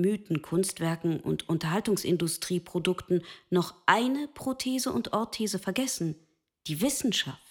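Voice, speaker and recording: A woman playing a lucid, patient machine in a literary radio play, lecturing the listener directly and deliberately. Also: on the low side, husky. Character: love-capable female machine, lucid, patient, lecturing philosopher.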